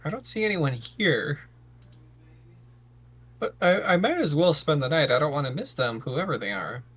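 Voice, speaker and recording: Man playing an anxious, stammering young misfit, bright-voiced. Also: on the high side, big pitch swings, moderate pace, husky, heavily acted. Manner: hesitant, stammering, earnest. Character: sensitive, anxious, hesitant misfit son of warriors.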